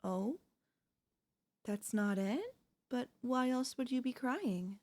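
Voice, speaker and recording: A woman playing a devoted, possessive wife in an ASMR audio roleplay, speaking haltingly with deliberate dramatic pauses. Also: a little high, a little mellow. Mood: anxious, stunned. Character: devoted wife, shocked then eerily composed, quietly possessive.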